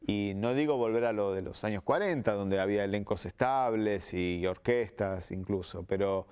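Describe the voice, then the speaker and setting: Smooth, lowish-voiced Argentine man explaining. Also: normal speed, darkish tone. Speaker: radio drama producer. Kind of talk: interview, reflective explanation.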